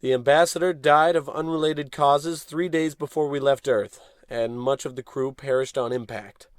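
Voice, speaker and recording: An actor playing a pompous, gung-ho American space captain in a parody of old radio adventure serials, declaiming orders with heroic self-importance. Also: male voice, mid-range voice, steady tone, normal speed, no echo, clean studio sound, natural voice. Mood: pompous command.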